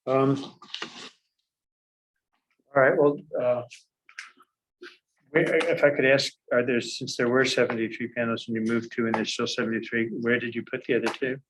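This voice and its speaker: A man chairing a review board meeting, speaking in halting, restarted phrases. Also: medium pitch, little pitch movement, a bit bright, slight background noise, poor audio.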